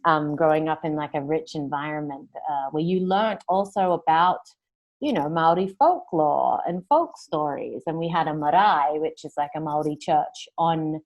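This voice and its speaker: A woman's voice: deep voice, moderate pace, darkish tone, muffled recording. A woman in her thirties from New Zealand and Australia, talking as an interview guest in a rambling way, with many fillers and restarts. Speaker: guest, singer-songwriter. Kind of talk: casual interview conversation.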